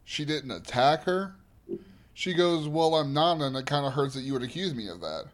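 A man's voice: a little high, lively intonation, normal speed. A man co-hosting a podcast in casual chat, recapping a reality show in an emphatic, opinionated way. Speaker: podcast co-host.

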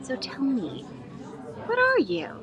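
Woman acting as high-pitched, scheming young mean girl. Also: huge pitch swings, takes it slowly, over music, exaggerated voice. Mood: snide, scheming. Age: young adult. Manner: sugary and coaxing, switching to snide. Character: scheming, two-faced young woman student, sugary then spiteful. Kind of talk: acted audio drama dialogue.

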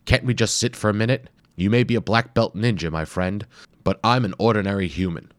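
Male narrator voicing a grumbling, sarcastic scholar, lifted well above his low natural pitch, in a read-aloud storybook. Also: brisk, no echo, natural voice. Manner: complaining, dry and sarcastic. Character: fussy, grumbling heavyset bearded male archaeologist.

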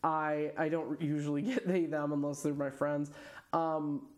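An afab podcast guest with a fairly low voice, talking casually in a hesitant, rambling way full of fillers and restarts, often laughing mid-sentence. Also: female voice, little pitch movement, unhurried, a little mellow. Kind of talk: casual conversation.

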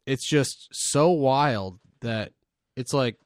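A man's voice: mid-range voice, very slow, dry recording, clean studio sound. A man hosting a casual podcast, talking in a laid-back, joking way. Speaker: podcast host.

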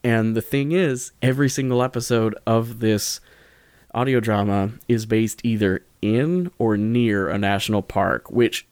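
A man's voice: fairly low pitch, fairly even intonation, measured pace, darkish tone, clean tone, no echo. A man talking casually as a podcast host, rambling and correcting himself in an informal, jokey way. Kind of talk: casual solo podcast talk, recommending.

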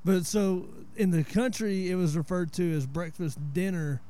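A man in his forties with a Southern US accent talks in a relaxed, storytelling way. His voice sits a little high but sounds warm and dark. He explains where local words came from. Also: normal speed. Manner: laid-back storyteller, explains word origins. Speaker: podcast host. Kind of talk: casual chat.

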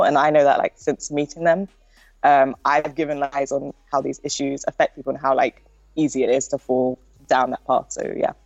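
A woman's voice: deep voice, darkish tone, no echo, muffled recording. A young woman with a nasal voice, chatting casually as a podcast guest and filling her talk with likes and ums. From Britain. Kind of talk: casual interview chat.

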